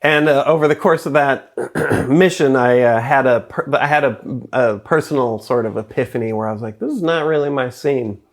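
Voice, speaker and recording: A man's voice: mid-range voice, normal speed. A man in his forties, a guest in a casual interview, talking in a rambling, self-deprecating way and laughing often. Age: 40s.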